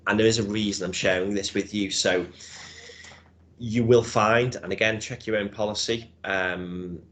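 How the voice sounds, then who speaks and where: A man's voice: on the low side, fairly even intonation, moderate pace, fairly bright tone. A man with a Scouse accent giving a webinar presentation as an insurance broker, explaining in a conversational way with frequent hedges and "right?" checks.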